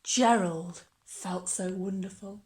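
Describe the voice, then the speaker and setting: A woman reading a children's story aloud like a teacher, with expressive, dramatic storytelling. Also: mid-range voice, slow pace, slight background noise.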